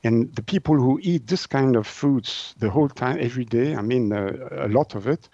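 A man's voice: mid-range voice, vocal fry, dark tone, no echo. Swiss guy, french native speaker, explaining stuff in an interview, keeps searching for words, kinda dull lo-fi audio. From Switzerland. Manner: halting, searching for words in non-native English. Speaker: naturopath and author, interview guest.

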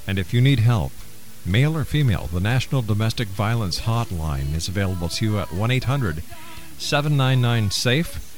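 A man hosting a radio talk show, deliberately announcing and spelling out details for listeners. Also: lowish voice, expressive, faint music behind. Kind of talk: radio host announcing phone numbers and addresses.

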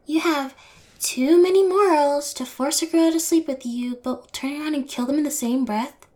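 A woman's voice: high voice, moderate pace, dark tone, close to an everyday voice. A young woman playing a bold, twisted, spoiled rich yandere girl in prison, in an intimate, teasing audio roleplay spoken to the listener. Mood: mocking, incredulous. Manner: taunting, brazen, teasing, drops to breathy whispers.

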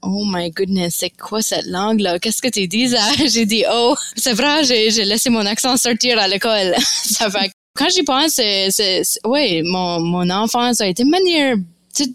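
A woman's voice: mid-range voice, normal speed, fairly bright tone, no echo. A young woman with an Acadian French accent, talking reflectively as a podcast guest, with many fillers and restarts. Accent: Acadian French of Baie Sainte-Marie. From Acadian Nova Scotia. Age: young adult. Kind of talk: casual conversation.

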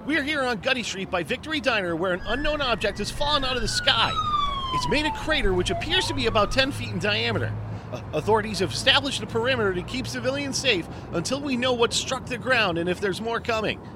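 A man plays a high-voiced TV field reporter with a lively, swooping delivery. He reports live from a city street, and traffic noise plainly fills the background. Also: quick pace, heavily acted. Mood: urgent. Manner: live on-scene reporting, stumbles when surprised. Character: excitable male field news reporter on location. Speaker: TV news reporter. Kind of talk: audio drama acting. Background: traffic and city ambience.

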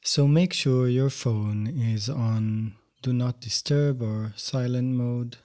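A man teaching yoga nidra, guiding a meditation in gentle, measured, unhurried phrases. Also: medium pitch. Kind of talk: guided meditation instruction.

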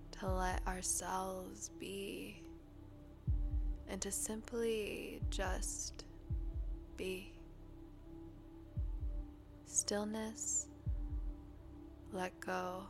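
A slightly congested woman podcast host speaking a guided meditation in a gentle, soothing, breathy voice that often drops toward a whisper. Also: medium pitch. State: a little congested. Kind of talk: guided meditation, then casual podcast talk.

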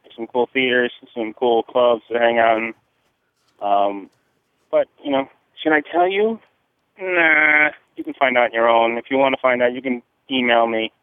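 A man's voice: mid-range voice, animated, normal speed, smooth, no room sound. Male caller from New Jersey, slangy and foul-mouthed, meandering delivery, tinny close telephone sound. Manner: profane, slangy, hesitant and rambling. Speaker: listener leaving a call-in message. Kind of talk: casual chat, rambling message. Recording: muffled, narrow phone-like line, dry.

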